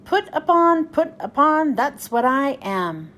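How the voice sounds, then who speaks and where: A woman's voice: sits high, big pitch swings, slow, fairly bright tone, voice pushed up, exaggerated voice. A woman voicing a small, grumpy, put-upon male tank engine in a children's storybook, complaining as he talks. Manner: grumbling, complaining.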